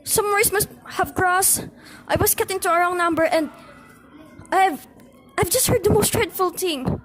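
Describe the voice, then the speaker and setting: A woman's voice: extremely high pitch, unhurried, soft background music. A woman playing a nervous, demanding housewife in a radio play, fretful and insistent. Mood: horrified, urgent. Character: nervous, demanding invalid housewife, alone and alarmed. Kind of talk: amateur radio play acting.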